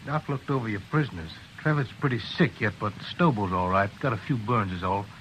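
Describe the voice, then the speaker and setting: An actor playing a plain-spoken frontier jailer in an old radio drama, easygoing and drawling. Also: male voice, on the low side, lively intonation, fairly quick, a bit bright. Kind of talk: 1950s radio drama acting. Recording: noisy old radio recording.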